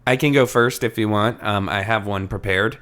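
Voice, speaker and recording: A man's voice: fairly low pitch, normal speed, a bit bright. A man co-hosting a podcast in casual, joking conversation, informal and free with swearing. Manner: jokey, informal, swears freely. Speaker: podcast co-host. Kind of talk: casual chat, comedic book discussion.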